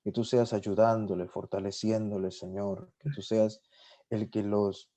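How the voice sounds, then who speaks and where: A man's voice: fairly low pitch, little pitch movement, unhurried, warm tone. A man whose first language is Spanish, a podcast guest leading a spontaneous spoken prayer, fervent and filled with repeated "Lord" and "amen". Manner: fervent, repeats "Señor" and "amén" often. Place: lives in Sweden.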